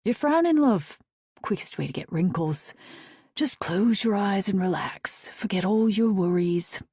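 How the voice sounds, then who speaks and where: A woman's voice: mid-range voice, animated, unhurried, a little mellow, slightly rough, dry recording, studio quality, pitched above the performer's own voice. A woman voicing a cheeky, flamboyant male hair stylist with a British accent and a teasing, theatrical manner. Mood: coaxing.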